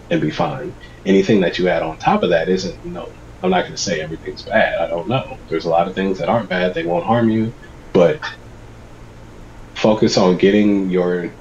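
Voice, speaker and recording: A man in his thirties chatting over a video call, slightly congested from a cold, giving relaxed advice. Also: low voice, moderate pace, vocal fry, muffled recording, a little hiss or hum. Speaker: guest. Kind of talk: casual video call chat. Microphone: video call webcam.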